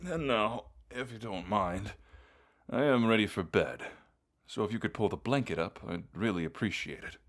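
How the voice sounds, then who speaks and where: Man acting deep-voiced, haughty wounded knight. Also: moderate pace, lightly acted. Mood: haughty, sleepy. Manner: haughty, grumbling, reluctantly vulnerable. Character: bratty, proud wounded young knight, haunted and grieving. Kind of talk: scripted audio roleplay addressed to the listener.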